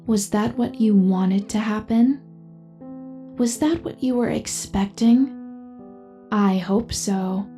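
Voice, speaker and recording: A composed female AI companion, played by a woman, narrates an audio drama. The voice is soft-edged and mellow, with music playing behind it. Every sentence is formal, precise and measured, as if delivering an analysis. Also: on the high side. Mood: knowing, addressing listener. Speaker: narrator and in-character host.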